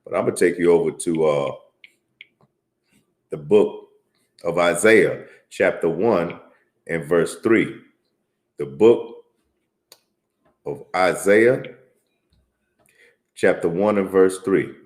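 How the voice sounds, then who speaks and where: A man teaching from the Bible in a casual, repetitive way, often tagging lines with "man" and reading scripture aloud in a raised, slower voice. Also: low voice, reverberant. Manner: tags lines with "man", repeats himself. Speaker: religious teacher. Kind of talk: informal Bible teaching and commentary.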